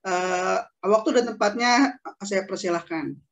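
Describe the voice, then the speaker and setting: A man's voice: sits high, a little slow, gravelly, a bit bright. A man moderating an online seminar, introducing the speaker politely with short phrases and fillers. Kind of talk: hosting a webinar.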